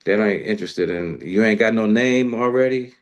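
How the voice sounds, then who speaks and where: Male music host, lower register, loose rambling delivery, colloquial and slangy. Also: moderate pace. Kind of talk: casual interview chat.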